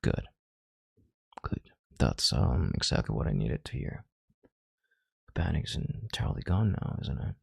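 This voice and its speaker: An extremely low, husky male voice acted by a man plays a domineering CEO in an intimate audio roleplay. He murmurs with heavy vocal fry and calm authority, condescending yet tender. The sound is close and dry. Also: unhurried, pauses often, no echo, heavily acted. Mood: soothing, possessive. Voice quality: very deep, gravelly, breathy murmur.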